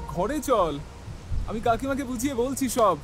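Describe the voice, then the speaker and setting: A man's voice: very high voice, lively intonation, measured pace. A man playing a frightened, pleading young man in an audio horror drama. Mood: worried pleading. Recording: loud music and rain behind. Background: music, rain.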